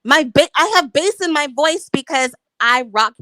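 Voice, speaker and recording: A woman's voice: high voice, lively intonation, normal speed, bright, forceful tone, dry recording. A married woman defending herself in a heated, profane livestream argument, emphatic and defensive. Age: adult, married. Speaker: YouTube commentator.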